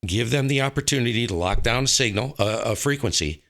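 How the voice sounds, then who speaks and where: A man's voice: medium pitch, expressive, brisk, vocal fry, fairly bright tone. An American man talking casually as a show host, giving his opinions emphatically and repeating points for stress. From northern United States. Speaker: host of a ham radio show. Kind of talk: casual opinionated conversation about radio operating.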